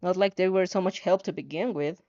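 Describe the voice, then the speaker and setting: A woman narrating a story in an expressive amateur storytelling style. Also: on the low side, a little fast, muffled recording. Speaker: fan fiction reader.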